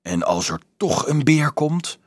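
A man's voice: on the high side, very animated, a little slow, husky, no room sound, clean studio sound, voice pushed up, full character voice. A man voicing a bold, protective youth in a storybook, telling brave tales with dramatic menace. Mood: menacing bravado.

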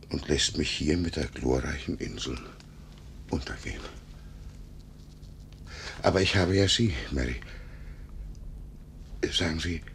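An actor playing a lonely, self-pitying paralysed gentleman of seventy-two in a 1950s German radio drama, querulous and plaintive. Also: creaky, heavily acted. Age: older. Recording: noisy, rumbling 1950s radio recording.

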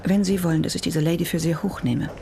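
An actress playing a tough, sceptical prosecutor in a radio drama, speaking brusquely. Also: female voice, fairly low pitch, fairly even intonation, quick pace, vocal fry, mellow.

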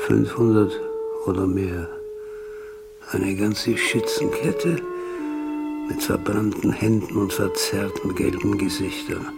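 An actor playing a self-assured, obsessive engineer and war veteran, with emphatic, intense speech. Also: male voice, lowish voice, vocal fry, exaggerated voice. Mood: haunted, grim. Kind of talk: radio drama acting.

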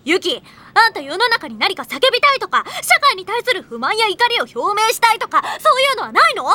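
A woman playing a brash, impatient high-school girl band leader who gives forceful orders in an anime audio drama. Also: big pitch swings, a little fast, heavily acted. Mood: bossy. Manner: commanding, forceful, brusque.

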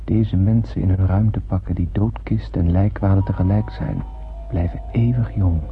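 An actor playing an astronaut who narrates a solemn space tale in poetic, measured radio play style. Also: male voice, low voice, fairly even intonation, soft background music. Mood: solemn, wondering. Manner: poetic, measured literary storytelling. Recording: muffled phone-like old radio recording, noisy.

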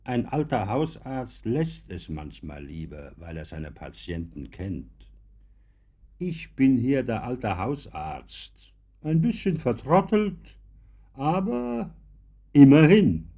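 Slow, animated old professor. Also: male voice, medium pitch, exaggerated voice. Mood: grave, reproachful. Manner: emphatic, philosophizing, ironic. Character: opinionated old professor defending imagination over sober reason. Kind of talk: German radio drama acting.

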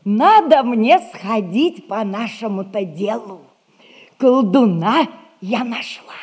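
An actress playing a fussing, kindly but crafty household nanny, folksy and gossipy, in a theatrical 1960s audio play. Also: female voice, fairly high pitch, animated, slow pace, darkish tone, breathy edge, exaggerated voice. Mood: gossipy, confiding.

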